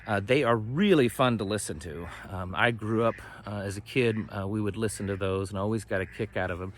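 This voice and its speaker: Low-voiced American man, rambling and folksy. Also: a little fast, a little hiss or hum. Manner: rambling, folksy, trailing off mid-thought. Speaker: host of a homesteading and prepping channel. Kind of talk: casual vlog talk to camera. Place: outdoors on a homestead, ducks nearby.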